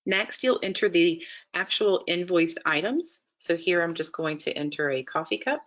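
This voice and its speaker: A woman narrating an instructional software demonstration in a methodical, explanatory way, step by step. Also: on the low side, animated, moderate pace, lo-fi sound. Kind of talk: instructional screen-share walkthrough narration.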